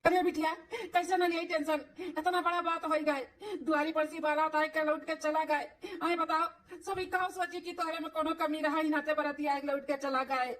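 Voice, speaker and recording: An anxious village father from a cartoon comedy, voiced by a woman, high in pitch and a little off the mic. He pleads and laments in a thick stream of Awadhi-accented worry. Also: little pitch movement, moderate pace, a bit bright, recorded from a distance. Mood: despairing.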